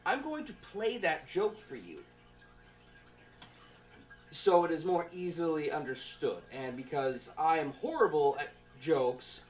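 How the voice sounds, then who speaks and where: A young man giving an informal student presentation from notes, in a halting, conversational way. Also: medium pitch. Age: young adult.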